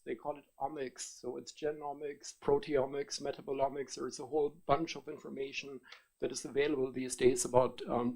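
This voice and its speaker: A middle-aged man with a German accent giving formal testimony to a committee, pausing often with ums and uhs as he explains. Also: a little high, vocal fry, poor audio. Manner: hesitant, many ums and uhs, measured explanation. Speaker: testifying witness, biotech executive.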